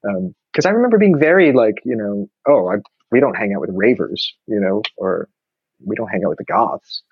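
A man's voice: lowish voice, expressive, normal speed, slightly rough, dry recording, narrow, tinny audio. A man, talking thoughtfully in a casual conversation with many restarts. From New York. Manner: reflective, many restarts and fillers.

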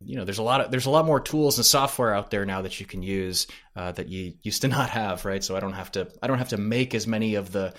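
A man's voice: on the low side, fast, few pauses, fairly bright tone. A man talking casually as a podcast guest, hesitant and thinking aloud as he answers. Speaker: podcast creator, interview guest. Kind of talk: casual interview conversation.

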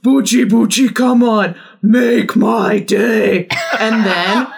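A woman's voice: on the high side, fairly even intonation, slow, vocal fry, crowd noise. Playful woman chatting as a podcast guest. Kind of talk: casual comic chat, telling anecdotes.

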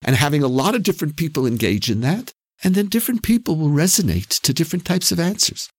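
A man's voice: fairly high pitch, sing-song, fast, breathy edge, dry recording. A Canadian-accented man speaking as an interview guest, reflecting on and explaining ideas emphatically, with repeated phrases for effect. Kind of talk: reflective, explanatory conversation on religion.

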